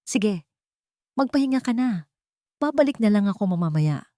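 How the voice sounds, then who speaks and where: A woman's voice: medium pitch, lively intonation, normal speed, warm tone, no echo, clean studio sound, voice pushed up, close to an everyday voice. A woman voicing a troubled, earnest male doctor in a dramatic story, speaking in an intense, questioning manner. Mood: gentle. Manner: intense, questioning, muttering to himself.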